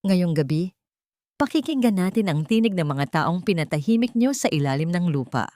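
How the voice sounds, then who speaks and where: A woman voicing a resolute woman pilot who speaks loud, clear and piercing. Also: fairly low pitch, animated, moderate pace, no echo, clean studio sound, natural voice. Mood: defiant.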